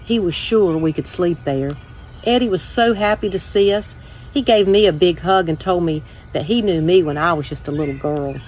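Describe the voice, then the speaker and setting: A woman's voice: lowish voice, a little mellow, a little hiss or hum, low-quality recording. A woman reading a children's storybook aloud as a first-person narration. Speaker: story time reader.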